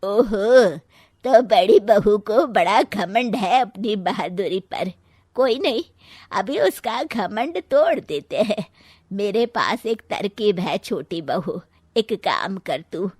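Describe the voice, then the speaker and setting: Woman as a slow-talking, scheming mother-in-law. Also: medium pitch, lively intonation, darkish tone, breathy edge, no echo, studio quality. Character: mischievous mother-in-law, protective of younger daughter-in-law. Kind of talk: Hindi comic family story acting.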